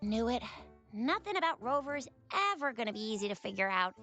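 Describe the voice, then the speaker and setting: A woman voicing a frank, teasing young woman companion in a video game, with a casual, lively manner. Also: a little high, fairly quick, faint music behind. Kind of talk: video game dialogue acting.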